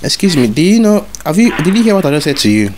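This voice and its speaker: A man's voice: on the high side, sing-song, fast, noisy background. A man making a prank phone call as a fake school inspector, bossy and repeating his orders over and over. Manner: bossy, repetitive, demanding orders.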